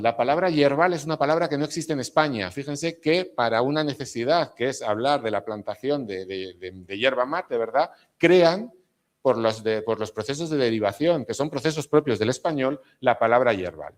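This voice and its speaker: A man's voice: mid-range voice, lively intonation, moderate pace. Spanish man lecturing, tagging questions. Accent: Spanish with some Argentine features. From Spain. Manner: explanatory, with frequent tag questions to the audience. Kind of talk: academic lecture.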